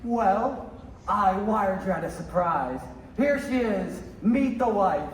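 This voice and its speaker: Roomy and a little noisy, a high school boy plays an earnest, cheerful young newlywed in an old-time radio play. His pitch is high and his delivery is slow. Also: expressive. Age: teens. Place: high school theater stage. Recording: roomy stage, distant, background noise.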